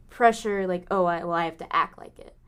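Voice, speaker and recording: Chill twenties woman on a podcast, slightly low voice, easygoing chat. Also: moderate pace, a bit bright, clear, resonant tone. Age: 20s. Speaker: podcast co-host. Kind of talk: casual chat.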